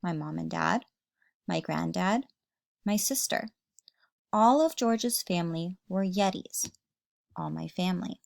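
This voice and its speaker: A woman's voice: fairly low pitch, measured pace, vocal fry, pauses often, a little mellow, dry recording, clean studio sound. A woman teacher reading a children's story aloud expressively, addressing young listeners.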